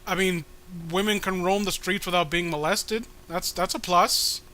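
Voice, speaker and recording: A man's voice: sits high, fairly even intonation, normal speed, pushed and bright. A man voicing a reluctant, pleading spirit sidekick in a comic reading, hesitant and whiny. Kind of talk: comic dramatic reading of a webcomic.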